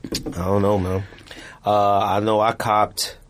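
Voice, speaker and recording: A man's voice: fairly low pitch, little pitch movement, slow pace. A man hosting a casual podcast in a studio, talking in loose slang and repeating himself for emphasis. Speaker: podcast host. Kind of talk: casual sneaker podcast banter.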